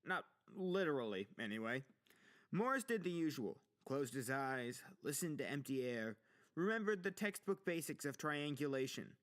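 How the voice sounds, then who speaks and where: A man's voice: mid-range voice, big pitch swings, moderate pace, a bit bright. A man reading a dramatic story as scripted audiobook narration. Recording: music playing under the voice. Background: music with guitar.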